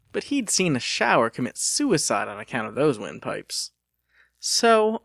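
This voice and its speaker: Animated, creaky-voiced male audiobook narrator. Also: medium pitch, no echo, studio quality. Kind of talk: dramatic scripted storytelling.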